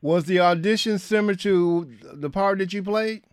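A man hosting a broadcast interview, asking brief, steady questions. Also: high-pitched, moderate pace, clear, resonant tone, dry recording, studio quality. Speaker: radio interviewer, host.

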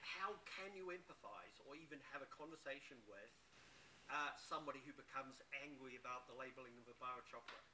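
Man, audience questioner, very bright tone, far from mic, faint hiss, pointed. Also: medium pitch, quick pace. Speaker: audience member asking a question. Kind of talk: question from the floor.

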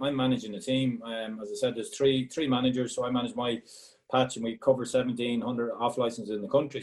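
A man's voice: medium pitch, darkish tone. A man with a Galway Irish accent, a former footballer answering interview questions in a chatty way, often repeating words as he restarts. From Ireland, Galway. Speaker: former footballer, regional sales manager.

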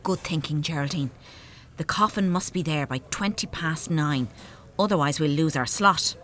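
An actress playing a practical, spiteful grown daughter in a radio play, with an Irish accent and a dry, matter-of-fact manner. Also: female voice, deep voice, normal speed, a bit bright. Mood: callous.

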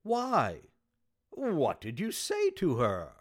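An actor playing an amused best pal who hands out advice in an old-time radio sitcom. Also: male voice, fairly high pitch, huge pitch swings, takes it slowly, exaggerated voice. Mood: amused, advising.

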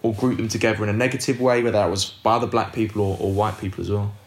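Low-voiced male podcast interviewer, echoey. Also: fairly even intonation, racing. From United Kingdom. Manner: attentive, frequent "mm" backchannels, long framed questions. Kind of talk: interview questions.

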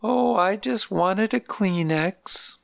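Elderly grandmother in care, soft complaining, gravelly edge; narrator does the voice. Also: medium pitch, slow, no echo, voice pushed up. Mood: tearful. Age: older. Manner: plaintive, soft-spoken complaining. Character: frail elderly grandmother in a nursing home, weary and complaining.

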